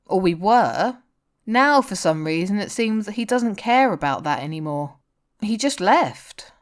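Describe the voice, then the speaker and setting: An earnest, lovelorn rock guitarist , voiced by a woman reading a story. The lines are character dialogue from fiction, lifted somewhat above the narrating pitch. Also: animated, unhurried, natural voice. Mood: hurt. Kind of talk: audiobook-style character dialogue.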